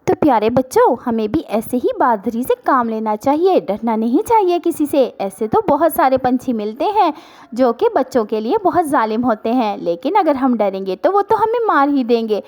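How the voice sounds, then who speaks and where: Woman, children's storyteller, high pitch, highly varied intonation, scripted cartoon narration. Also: big pitch swings, normal speed, darkish tone.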